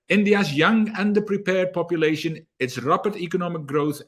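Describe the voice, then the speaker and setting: An energetic man speaking as a leadership coach in an online talk, often repeating words as he gathers his thoughts. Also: on the high side. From South Africa.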